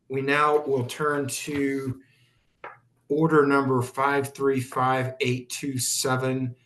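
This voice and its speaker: An American man chairing a formal hearing, speaking in a measured, courteous, procedural way. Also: medium pitch, flat delivery, fairly bright tone, a little hiss or hum, rough recording. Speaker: commission chair. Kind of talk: formal procedural meeting talk.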